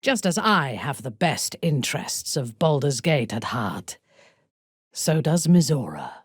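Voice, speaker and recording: Cold haughty dark elf warrior lady for a video game, breathy husky voice, slow talker, super dry recording. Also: extremely low pitch, very animated, dry recording, heavily acted. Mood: measured, haughty. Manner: haughty, deliberate, commanding. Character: cold, imperious, ruthless female dark elf warrior-priestess. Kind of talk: video game character dialogue.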